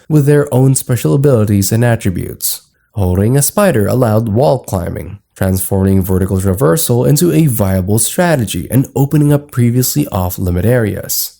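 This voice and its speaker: A man's voice: mid-range voice, vocal fry, mellow. A man reading scripted narration as the narrator of a gaming explainer video.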